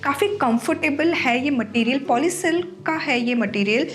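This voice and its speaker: A woman's voice: high voice, expressive, normal speed, soft background music. A young woman with a slightly sore throat, chatting casually as she reviews outfits for her channel and switching freely between Hindi and English. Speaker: fashion vlogger. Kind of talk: casual haul review to camera.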